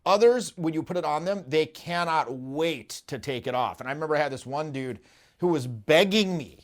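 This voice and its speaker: Animated storytelling man in a studio. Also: a little high, normal speed. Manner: animated storytelling, acts out quotes. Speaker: dating coach and host. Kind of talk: casual podcast chat. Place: dark studio, indoors.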